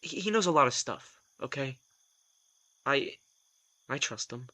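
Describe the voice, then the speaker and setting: A prickly young vampire. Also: male voice, medium pitch, expressive, pushed and bright. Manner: terse, prickly, quick to snap. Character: reluctant young male vampire, gruff, defensive. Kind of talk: audio drama acting.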